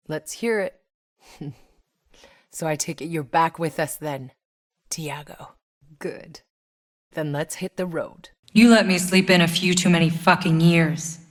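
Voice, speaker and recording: Video game heroine: seasoned fighter, tough and sardonic, low register, blunt wry delivery, close dry sound. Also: female voice, no echo. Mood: dry, teasing, then guarded, questioning. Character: tough, sardonic, battle-hardened female soldier, game protagonist. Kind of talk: video game character voice lines.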